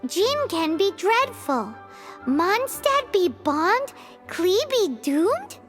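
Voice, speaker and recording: Squeaky, excitable little fairy companion. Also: female voice, very slow, exaggerated voice. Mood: curious, alarmed. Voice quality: squeaky, childlike. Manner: exuberant, speaks of herself in third person. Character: tiny excitable female fairy companion, childlike and cheeky. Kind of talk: animated video game voice acting. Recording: background music.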